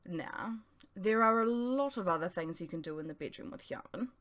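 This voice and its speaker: A woman voicing a composed, playful female academy teacher in an audiobook, measured and gently instructive. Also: fairly low pitch, animated. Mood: coy. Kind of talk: dramatised audiobook dialogue.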